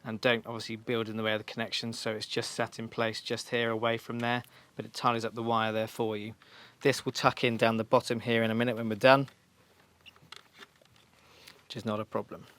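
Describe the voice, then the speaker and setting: A man's voice: medium pitch, monotone, a little fast, fairly bright tone. A man giving an informal, practical step-by-step demonstration in a reassuring, instructional way. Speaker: motorcycle shop staff giving a fitting tutorial.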